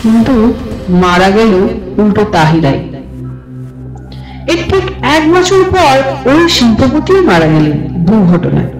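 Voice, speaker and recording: High-pitched male suspense narrator, echoey. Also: wildly swooping pitch, measured pace, pauses often. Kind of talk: scripted suspense story narration. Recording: roomy echo effect with background music. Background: music.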